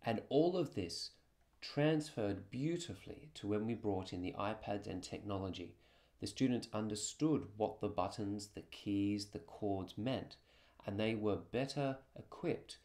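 A man's voice: on the low side, a little slow, darkish tone. A man who teaches music, narrating a prepared presentation about classroom work.

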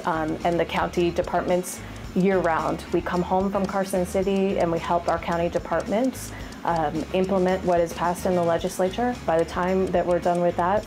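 Woman, 40s, lower voice, earnest quick answers, office interview, music bed behind. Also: vocal fry. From Rhode Island, USA. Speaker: county government affairs manager. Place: office, indoors. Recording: background music underneath.

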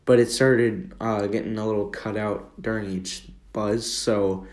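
A man's voice: on the low side, steady tone, measured pace. A young American man rambling casually and hesitantly through an informal commentary. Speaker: video creator. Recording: roomy echo, faint background noise.

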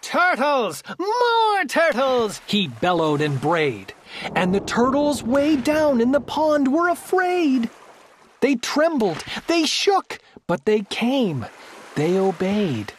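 A woman narrating a children's storybook aloud, reading the verse in an expressive, emphatic, theatrical way. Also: a little high, takes it slowly, slight background noise.

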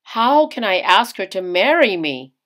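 A woman's voice: mid-range voice, very animated, unhurried, fairly bright tone. A woman voicing an anxious, lovestruck young American sailor in a storybook, speaking earnestly and with emphasis. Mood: anxious, resolved.

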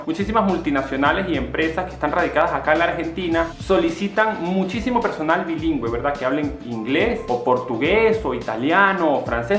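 A man's voice: fairly high pitch, echoey room. A young man from Venezuela, casually walking viewers through an app in a sponsored vlog. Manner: chatty, animated, gestures a lot while talking. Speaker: YouTuber. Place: small indoor room with posters. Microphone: camera-mounted shotgun mic at desk distance.

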